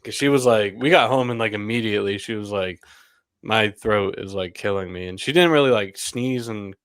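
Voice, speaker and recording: A man's voice: on the low side, moderate pace, fairly bright tone, smooth, no room sound. A young man hosting a casual sports podcast, a little under the weather and rambling with lots of "like" and laughs. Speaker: podcast host.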